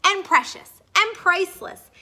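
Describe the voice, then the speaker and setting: A proud storybook father mouse, read by a woman, effusive in praise. The delivery is slow and emphatic with a bright tone. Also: very high-pitched, voice pushed up, full character voice.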